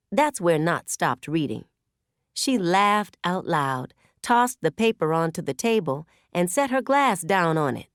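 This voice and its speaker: A woman narrates literary fiction in an expressive storytelling style. Her voice sits slightly low and moves freely in pitch. The recording is very dry, like a studio audiobook. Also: no echo. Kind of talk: scripted novel narration.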